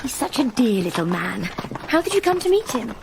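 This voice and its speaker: A young English woman in a period radio drama. Her voice is high and lilts widely, brisk and chatty, with an English accent. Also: very animated, creaky, background noise. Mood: cheerful, chatty. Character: bright, outspoken young English woman working in dispensary.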